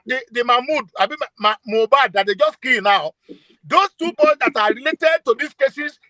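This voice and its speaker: A man in his sixties from Nigeria with an Ekiti accent, speaking passionately in an online forum, halting and repeating words as he goes. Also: very high voice, bright, forceful tone, no room sound, low-quality recording. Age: 60s. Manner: halting, repeats words, stumbles over phrases. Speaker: call-in speaker. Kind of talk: impassioned online forum talk.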